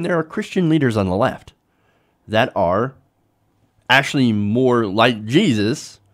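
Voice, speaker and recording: An American man delivers opinionated political commentary as a show host. His voice sits at a medium pitch and moves around a lot for emphasis. He argues emphatically, leaning on rhetorical questions.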